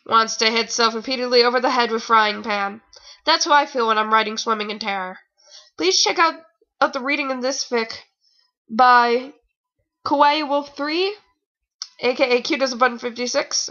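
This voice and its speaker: A woman's voice: a little high, sharp tone. A young woman reading fan fiction aloud in a casual, informal way, with rambling, sarcastic commentary. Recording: dull lo-fi home recording.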